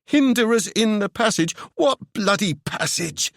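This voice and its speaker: A male narrator puts on a panicked young man whose voice jumps high and wild as he fires off desperate, demanding questions. The swings in pitch are huge and the sound is close and dry. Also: animated, measured pace, vocal fry, dry recording, exaggerated voice. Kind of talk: audiobook character voice.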